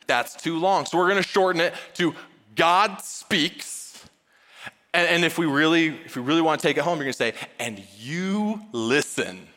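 A man preaching a conversational sermon in an informal, joking way, with emphatic pauses and acted-out dialogue. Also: fairly high pitch, a bit bright. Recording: reverberant hall sound.

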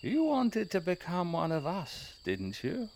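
Male actor as grandiose lodge-leading mayor, fussy and theatrical, measured delivery, night insects faintly behind. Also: high-pitched, wildly swooping pitch, a little hiss or hum, exaggerated voice. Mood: solemn, grandiose. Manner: theatrical, commanding, then awkwardly fussy. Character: pompous small-town mayor leading a secret cult lodge. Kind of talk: comedy-horror audio drama acting.

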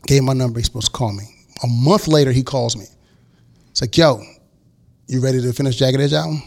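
A man with a gravelly, creaky voice in his forties, talking casually in an interview. He tells a long story and acts out what people said to him. His pitch sits in the middle for a man. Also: fast. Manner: animated storyteller acting out quotes. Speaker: guest record producer.

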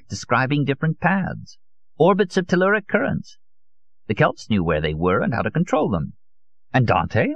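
Man putting on an excitable, high-pitched elderly conspiracy theorist. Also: no echo. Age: older. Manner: emphatic, rhetorical, rambling monologue. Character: elderly Russian male conspiracy theorist, fervent, nostalgic taxidermist. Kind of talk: dramatised audiobook character. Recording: dull, muffled lo-fi audio.

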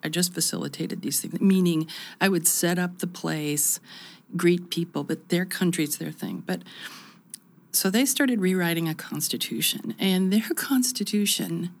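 Gravelly-voiced woman in her fifties. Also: fairly low pitch, unhurried. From United States. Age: 50s. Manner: reflective, animated, many restarts. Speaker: interview guest. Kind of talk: conversational interview.

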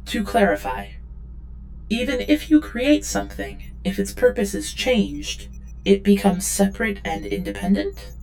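An actress playing a submissive, eager-to-please android drone, speaking formally and deferentially in an audio drama. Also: female voice, medium pitch, unhurried, gravelly, darkish tone, soft background music, low-quality recording. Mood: earnest, literal. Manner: formal, deferential, literal, third-person self-reference.

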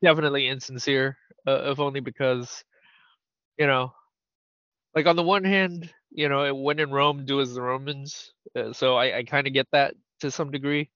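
A man's voice: medium pitch, steady tone, moderate pace, fairly bright tone, no room sound. Male podcast host, casual rambler who laughs easily, dry close sound, slightly dull audio. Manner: rambling, laughs often, teasing.

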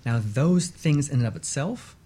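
A man speaking as an expert guest on a radio talk show, explaining in a measured, emphatic way and repeating points for stress. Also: a little high, lively intonation, warm tone.

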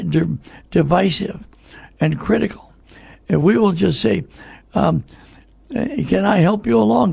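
An older man hosting a radio talk show, speaking conversationally in a folksy, halting way straight to his listeners. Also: high-pitched, gravelly, dark tone, breathy edge, no room sound.

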